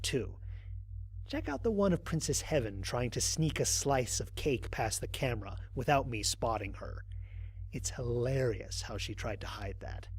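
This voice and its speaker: A man's voice: mid-range voice, a little mellow, husky, no echo. A man playing a human space explorer recording a mission log in an audio drama, speaking conversationally with wry, dry amusement. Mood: amused, amazed. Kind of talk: first-person audio drama narration as a log entry.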